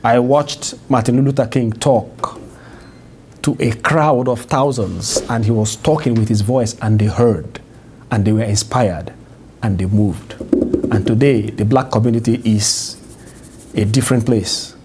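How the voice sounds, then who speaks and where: A middle-aged man speaking as an event host, introducing a speaker in formal, measured, emphatic phrases in an indoor room. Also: medium pitch, a little mellow, slight background noise. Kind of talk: public address, introduction.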